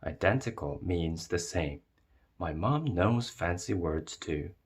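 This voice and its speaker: Slow, low-voiced man reading a storybook. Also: expressive, husky. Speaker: read-aloud narrator. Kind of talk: expressive children's storybook narration.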